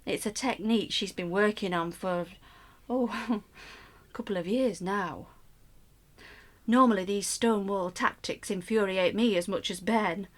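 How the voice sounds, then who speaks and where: An actress playing an anxious middle-aged mother, telling her story in an expressive, wry first-person radio play monologue. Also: mid-range voice, a little slow, natural voice. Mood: exasperated, wry. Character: anxious middle-aged mother recounting a troubled family holiday.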